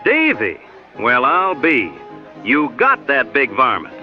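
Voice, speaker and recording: A man's voice: mid-range voice, huge pitch swings, slow, muffled recording, faint music behind. An actor playing a wry, boastful American woodsman sidekick with colorful folksy exaggeration in a western audio drama. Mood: boisterous.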